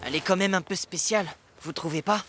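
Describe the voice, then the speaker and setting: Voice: mid-range voice, fast talker, music in the background, natural voice. A performer voicing an easygoing, self-assured youthful guide in a French children's cartoon, reassuring and a little boastful. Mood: doubtful. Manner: laid-back, reassuring, boastful. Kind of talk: French animated children's series dubbing.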